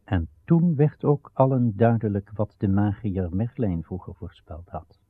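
A man narrating a radio play of old legend in a grave, measured, solemn storytelling style with dramatic pauses. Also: on the low side, lively intonation, slight background noise. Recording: muffled, narrow-band old radio recording.

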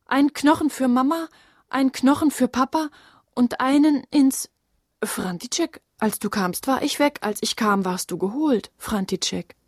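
An actress playing a haunted, defiant and grieving young woman in a poetic radio drama, speaking declamatory lines that shift from urgent to hushed. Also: high-pitched, measured pace, dry recording, clean studio sound.

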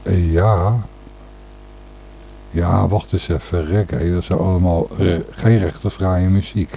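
A man's voice: deep voice, fairly even intonation, slow pace, gravelly, warm tone, a little hiss or hum. A man the hosting a radio show with casual, rambling, blunt and opinionated talk.

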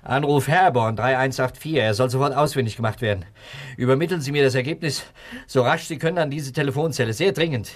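A man playing a commanding, dryly ironic police inspector in an old radio crime play, speaking crisply and in control. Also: mid-range voice, quick pace, natural voice. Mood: urgent. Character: brisk, sardonic male police inspector. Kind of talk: 1960s German radio play acting.

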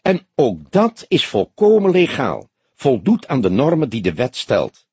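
A confident young businessman from a crime audiobook, played by a male reader. He talks formally and defiantly in measured phrases, with a lively rise and fall and a slightly husky tone. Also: mid-range voice, dry recording, pitched above the performer's own voice, exaggerated voice. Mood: formal, defensive. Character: young businessman, self-assured, smooth-talking, defiant. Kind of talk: Dutch audiobook character dialogue.

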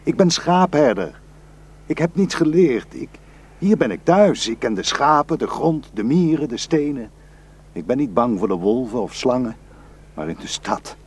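An actor playing a proud, dreamy Kurdish shepherd husband in a radio drama. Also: male voice, medium pitch, big pitch swings, moderate pace, creaky, husky, heavily acted. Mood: defiant, proud.

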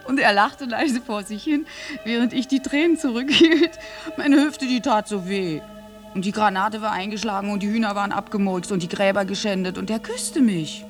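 Woman acting scarred young female war orphan, haunted, bitter, halting dramatic delivery, radio play, music in background. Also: medium pitch, natural voice. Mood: agitated, anguished. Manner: halting, intense, dropping into breathy whispers. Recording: music behind the voice.

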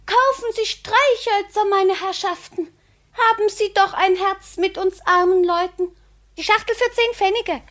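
A woman's voice: extremely high pitch, unhurried, a bit bright. A young woman in her twenties voicing an earnest little rich girl in a 1960s radio play, with a pleading sing-song child voice. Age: 20s.

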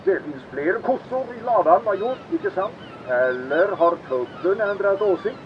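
A man plays a domineering navy captain in an audio drama. His high voice is curt and sneering, coming through a dull, band-limited radio with faint noise. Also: expressive. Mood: cold, impatient. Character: impatient, domineering, mocking male navy captain.

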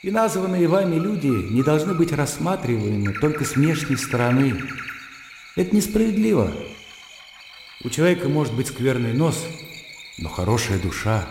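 An actor playing an educated, reserved gentleman in a Russian radio play, polite and ironic, with a didactic turn. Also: a little high, big pitch swings, normal speed, soft background music. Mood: argumentative. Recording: echoey reverberant sound, clear cricket ambience.

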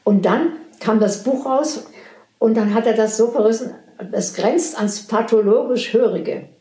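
High-pitched, mellow elderly woman. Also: measured pace. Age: 80s or older. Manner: animated anecdotal storytelling. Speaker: poet recalling her career. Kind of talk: casual reminiscing conversation. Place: indoors in a room. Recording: roomy echo with music.

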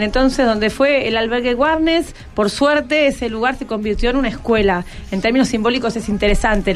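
A woman with a Buenos Aires Argentine accent hosting a live radio interview with students in a studio. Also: a little high. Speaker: radio host. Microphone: studio microphone. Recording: music playing behind.

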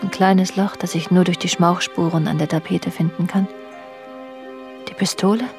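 An actress playing a brooding, suspicious middle-aged wife in an intimate radio drama, hushed and halting, often slipping into a whisper. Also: female voice, on the low side, steady tone, music in the background, natural voice. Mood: quiet, searching. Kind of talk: intimate German radio drama acting, dialogue and inner monologue.

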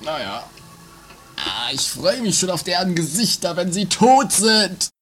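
A man's voice: sits high, expressive, measured pace. A man playing a melodramatic, easily outraged, gloating schemer in a trashy comedy radio play, declaiming with exaggeration over music. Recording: loud music under the voice.

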